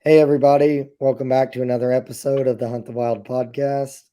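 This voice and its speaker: A man's voice: mid-range voice, little pitch movement, fast talker, mellow. A male podcast host who is losing his voice, asking easygoing questions in casual chat.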